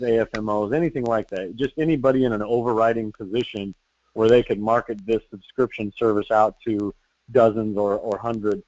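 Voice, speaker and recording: Sales manager on a conference line: easygoing, encouraging, full of fillers; dry, dull lo-fi sound. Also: male voice, fairly low pitch, a little mellow, dry recording. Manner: loose and encouraging, many fillers and restarts. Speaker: sales manager leading a team call. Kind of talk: casual business meeting talk.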